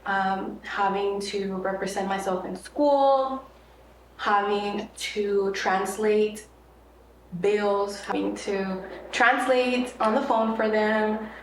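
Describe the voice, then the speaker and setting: A woman's voice: mid-range voice, steady tone, takes it slowly. A young woman from Mexico whose first language is Spanish talks as a podcast guest in a loose, rambling way full of fillers. Her voice sits back from the microphone in an echoey room. A faint hiss runs underneath. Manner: chatty, rambling with many fillers and restarts. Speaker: podcast guest, content creator. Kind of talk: casual interview conversation. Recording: echoey room, mic at a distance, faint noise.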